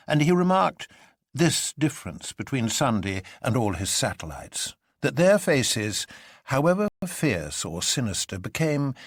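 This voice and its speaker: A man's voice: lowish voice, slightly rough, no room sound, studio quality. A man reading a story as narrator, in a dramatic scripted style. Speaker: narrator, audiobook reader.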